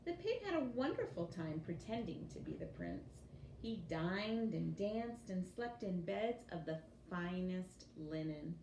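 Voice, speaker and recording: A woman reading a children's story aloud in an expressive teacher's storytelling style. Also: fairly low pitch, a little slow. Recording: roomy echo with faint background noise.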